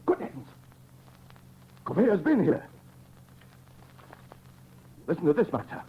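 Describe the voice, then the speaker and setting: An actor playing an alarmed, indignant doctor in a 1930s radio drama, with a husky voice. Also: male voice, a little high, sing-song, unhurried, exaggerated voice. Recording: dull, band-limited 1930s radio recording.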